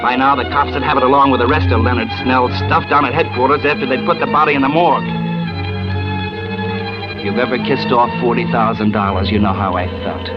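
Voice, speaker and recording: An American actor playing a scheming, bitter, cocky crook who narrates in tough slang in a 1940s radio thriller. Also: male voice, mid-range voice, fairly even intonation, brisk, natural voice. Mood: bitter, resigned. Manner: tough slangy talk, agitated. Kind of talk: 1940s radio noir acting, first-person narration. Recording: dull old radio recording, loud music.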